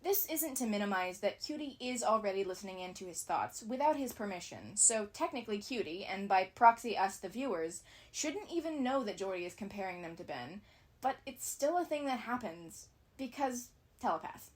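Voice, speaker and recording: A woman's voice: medium pitch, very animated, normal speed. A woman giving scripted analysis commentary as a video essayist, with casual asides.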